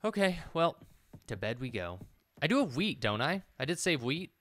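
Bright-voiced man rambling over a video game. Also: a little high, very animated, moderate pace, no echo. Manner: rambling, thinking aloud, mild swearing. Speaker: let's play host. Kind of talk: casual gameplay commentary. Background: game music.

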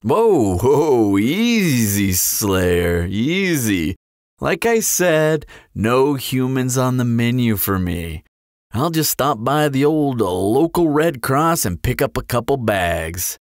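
A man's voice: mid-range voice, very animated, slow, no echo, studio quality. A man playing a flirtatious, sardonic vampire in a roleplay audio drama, talking in a glib, teasing, playful drawl. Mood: glib, casual. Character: flirtatious, sardonic male vampire toying with a slayer.

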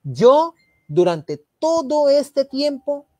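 A man with a Santander Colombian accent speaks in a fairly high voice on a live online panel show. He rambles, repeats and restarts words, and gets animated and indignant when he makes his case. The sound is dry, with no room echo. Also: extremely slow. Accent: Santander Colombian Spanish, from Barrancabermeja. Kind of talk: casual live online talk.

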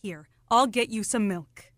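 A woman's voice: medium pitch, measured pace, a bit bright, no echo, studio quality, close to an everyday voice. A woman playing a pointed, scolding mother of a grown son in a radio play. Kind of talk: absurdist radio play acting.

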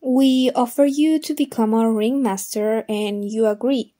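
An earnest woman of the funfair acted by a woman in an audio drama. Her voice is rather high yet mellow and dark-edged, with gentle, careful delivery. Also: fairly even intonation, a little slow, natural voice. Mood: wistful.

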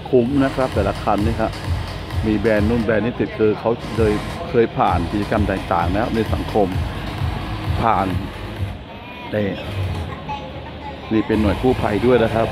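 A man giving casual walkaround commentary at an outdoor car meet, chatty and polite, talking into a handheld camera. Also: lowish voice. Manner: chatty, adds polite "na khrap" to nearly every line. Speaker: car show vlogger.

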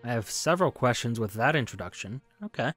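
A man's voice: medium pitch, expressive, fairly quick, a bit bright, soft background music. A man hosting a game playthrough, giving casual, musing commentary with wry asides into a close microphone. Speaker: gaming video host. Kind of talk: casual game playthrough commentary, reading on-screen text aloud. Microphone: close microphone, voice-over.

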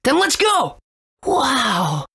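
A woman playing a brave, determined young boy hero in a video game, with a husky voice. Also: high-pitched, huge pitch swings, drawn-out words, pushed and bright, heavily acted. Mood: eager.

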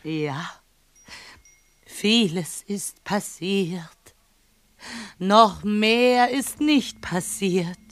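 An actress playing a mystical, dramatically frail spirit medium in an audio drama, halting and breathy. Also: female voice, mid-range voice, dry recording. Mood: trance-like, solemn. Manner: slow, halting, breathy séance delivery. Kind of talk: radio play acting.

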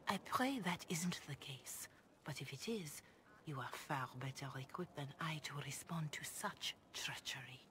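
A woman voicing a devout, compassionate older cleric in a video game, speaking in a formal, measured, earnest way. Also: sits low, lively intonation, a bit bright. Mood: grave, cautious. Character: grave, devout, compassionate older Chantry mother. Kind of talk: video game dialogue acting. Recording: clear background noise and ambience.

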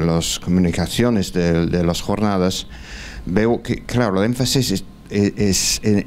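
A man's voice: deep voice, darkish tone. A man in his sixties, a non-native Spanish speaker, standing in a large lecture hall and putting a question from the floor to a panel through a handheld mic, hesitant with many fillers and restarts. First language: not Spanish. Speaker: audience member at a conference. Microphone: handheld mic, standing in the audience.

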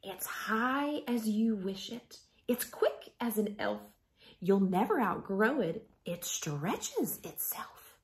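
A woman in her thirties reading a picture book to children like a schoolteacher, gently and expressively, softening to a breathy hush at line ends. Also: medium pitch, big pitch swings, slow pace. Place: small living room at home.

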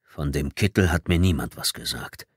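A man's voice: a bass voice, expressive, moderate pace, breathy edge, no echo, clean studio sound, pitched below the performer's own voice, exaggerated voice. A man voicing a sullen, wary outcast airman in an audiobook, terse and guarded. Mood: surly, uneasy. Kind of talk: audiobook character acting.

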